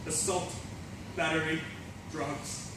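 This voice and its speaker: A man's voice: sits high, big pitch swings, normal speed, noisy background. A man playing a fallen self-help guru in a theatrical monologue, intense, volatile, sardonic and profane, with a confessional bitterness. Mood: rueful. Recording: echoey room, distant mic, music behind.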